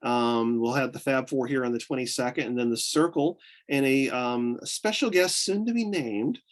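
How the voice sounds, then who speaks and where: An excited American man with a mixed Southern and Northern accent, chatting as a guest. His voice sits mid-range and swings up and down as he rambles and restarts his thoughts. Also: medium pitch, normal speed. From upstate New York. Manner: enthusiastic, rambling with many restarts and fillers. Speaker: guest, photographer. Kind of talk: casual interview chat.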